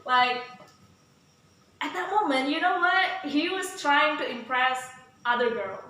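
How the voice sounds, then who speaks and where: A woman with a bright, somewhat high voice who talks bluntly and teasingly, laughing often. She is recorded from a distance in an echoey room, with a faint hiss underneath. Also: animated, measured pace. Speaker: host's fiancee. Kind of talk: casual chat with fiancee. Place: home in Bali.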